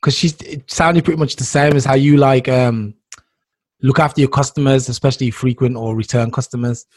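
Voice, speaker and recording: Man, co-host, husky, teasing, informal, video call. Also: medium pitch, fairly even intonation, a little fast, darkish tone, no room sound. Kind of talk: casual video call chat.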